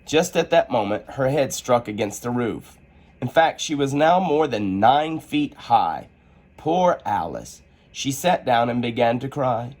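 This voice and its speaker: A man reading a children's storybook aloud as an expressive storyteller. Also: mid-range voice, measured pace.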